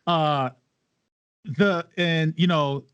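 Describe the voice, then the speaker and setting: A man's voice: on the high side, very slow, a bit bright, smooth, no echo, dull audio. A man, a podcast panelist who banters casually in an argumentative, exasperated way.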